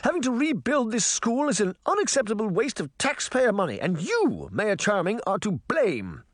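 A man voicing a pompous, furious fairy-tale queen in an audiobook, with a high, strident voice. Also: huge pitch swings, normal speed, dry recording, clean studio sound, full character voice. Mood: ranting. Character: shrill pompous fairy-tale queen, middle-aged, ranting. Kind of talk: audiobook character voice.

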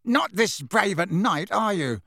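A man plays a big teenage bully in a storybook, his voice pushed up high. He is sneering and defensive, snarling his boasts and jibes in a swooping delivery. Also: very animated, measured pace, vocal fry, fairly bright tone, dry recording, studio quality, exaggerated voice. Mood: snarling, boastful.

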